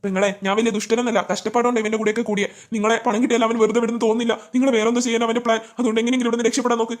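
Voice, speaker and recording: A woman's voice: fairly high pitch, flat delivery, rapid-fire, natural voice. A woman voicing a nervous, kind-hearted, obedient henchman in a cartoon dub. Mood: worried, confiding. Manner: nervous, deferential. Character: timid, kind-hearted male henchman, obedient but worried. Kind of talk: amateur cartoon dub in Malayalam.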